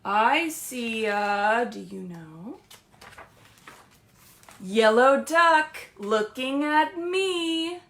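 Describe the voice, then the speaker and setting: A woman children's librarian hosting a read-aloud story time, speaking gently and reassuringly in simple words meant for small children. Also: fairly high pitch, animated, extremely slow. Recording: roomy, echoey livestream sound.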